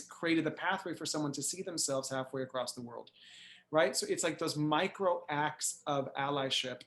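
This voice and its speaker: A man hosting a conversational interview, telling a story in an animated way and often checking in with "right?", heard over a video call. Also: a little high. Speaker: host.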